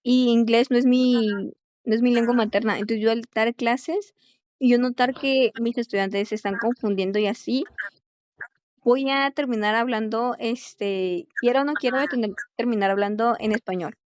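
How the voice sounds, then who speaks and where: A young woman speaks in a quick, chatty way, using este a lot as she thinks. Her voice is smooth, clear and a little high. The sound is close and dry. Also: darkish tone, dry recording. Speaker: English teacher and language student. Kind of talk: casual conversation.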